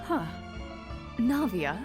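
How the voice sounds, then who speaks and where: An actress playing a composed, earnest young woman in a video game, speaking in a measured, formal, matter-of-fact way. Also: a little high, big pitch swings, heavily acted. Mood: resolute. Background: faint music.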